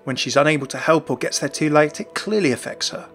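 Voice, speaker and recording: A man's voice: on the high side, fast talker, fairly bright tone, soft background music. A man hosting a video, narrating game lore in a chatty, enthusiastic scripted style. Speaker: gaming lore video host.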